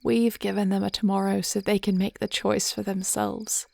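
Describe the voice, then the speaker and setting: A regal, thoughtful dragon queen acted by a woman speaks privately to her beloved in a fantasy roleplay. Her voice sits at a middling height with noticeable creak. The phrasing is formal, reflective and tender. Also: mid-range voice, level tone, normal speed, darkish tone. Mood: musing, hopeful. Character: thoughtful, devoted dragon queen wife planning the future. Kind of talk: intimate fantasy audio roleplay acting.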